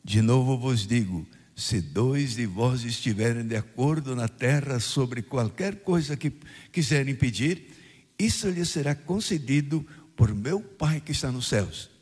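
An older Brazilian priest from southern Brazil, reading the gospel and preaching a homily at mass, with emphatic rising phrases and questions put to the congregation. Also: male voice, mid-range voice, animated, a little slow, darkish tone, slightly rough. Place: church. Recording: radio broadcast of a mass in a reverberant church.

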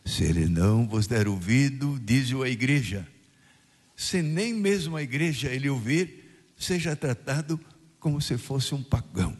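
Older priest preaching in an echoing church. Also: male voice, mid-range voice, expressive, a little slow, slightly rough. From southern Brazil. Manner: preaching with rising emphatic phrases and questions to the congregation. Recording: radio broadcast of a mass in a reverberant church.

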